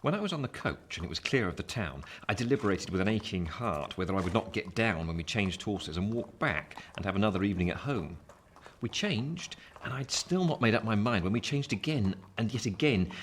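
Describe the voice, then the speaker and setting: An actor playing a reflective man narrating his own youth in a literary radio play, measured and wistful. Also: fairly low pitch, animated, slight background noise, natural voice.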